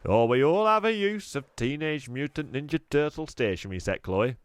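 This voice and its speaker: A man's voice: medium pitch, lively intonation, moderate pace, clean tone, no room sound, pitched above the performer's own voice, lightly acted. A man voicing an eager, pushy male shopkeeper during a children's book reading. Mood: eager salesy. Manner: salesy, insistent.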